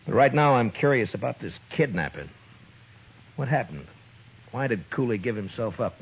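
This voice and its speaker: An actor playing a stern middle-aged frontier town marshal in an old radio western, firm and blunt. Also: male voice, mid-range voice, animated, moderate pace, breathy edge. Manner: firm, dry, blunt and threatening. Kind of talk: 1950s radio drama acting. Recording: muffled vintage radio transcription.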